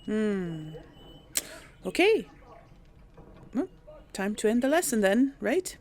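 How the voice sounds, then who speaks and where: A woman's voice: medium pitch, big pitch swings, slow pace, a little mellow. A woman hosting a role-play gaming video, musing aloud in casual, chatty commentary and reading out dialogue choices. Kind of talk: casual game commentary mixed with in-character dialogue.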